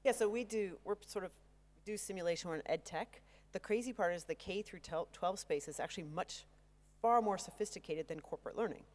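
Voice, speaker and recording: A woman's voice: lowish voice, darkish tone. Wry woman panelist with a handheld mic. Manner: quick, wry and self-deprecating. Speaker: panelist, ed tech CEO. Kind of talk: conference panel discussion. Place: large conference stage.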